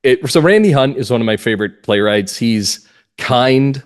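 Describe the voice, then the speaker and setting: A man's voice: mid-range voice, animated, normal speed. A man speaking as a podcast guest and playwright, chatting casually in an interview.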